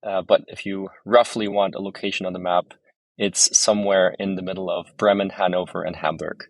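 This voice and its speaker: Deep, slightly creaky male interview guest. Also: flat delivery, fairly quick, no room sound, rough recording. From Germany, raised abroad in several countries. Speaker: podcast guest, startup founder. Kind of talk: conversational interview answers.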